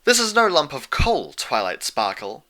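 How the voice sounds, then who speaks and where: A man's voice: medium pitch, wildly swooping pitch, normal speed, sharp tone, pitched above the performer's own voice. A man voicing a regal, haughty female pony princess of the night with formal, imperious speech. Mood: restrained anger.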